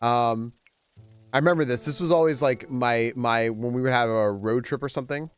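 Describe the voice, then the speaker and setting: A man chatting casually on a live stream while playing a game. He rambles with many restarts and jumps up in pitch whenever he greets viewers. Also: normal speed, smooth, faint music behind.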